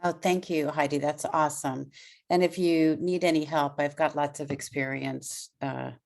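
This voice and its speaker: A woman's voice: low-pitched, fairly even intonation, normal speed, gravelly, a little mellow. A woman speaking casually on an online conference call, in an informal and encouraging way. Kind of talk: casual talk on a group call.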